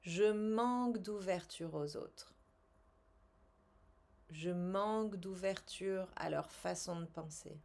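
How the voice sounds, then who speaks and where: A woman coaching a guided tapping exercise in short, measured, instructional phrases with pauses and repeated statements. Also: fairly low pitch, gravelly, darkish tone. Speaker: tapping coach and language teacher.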